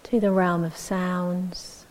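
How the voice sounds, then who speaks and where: A woman teaching meditation, giving gentle, measured instructions with long pauses between short phrases. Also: on the low side, little pitch movement.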